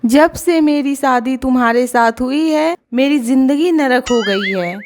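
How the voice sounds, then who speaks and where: A woman's voice: high voice, unhurried, over music. A woman playing a discontented, nagging wife in a cartoon story, complaining emphatically. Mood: bitter complaint.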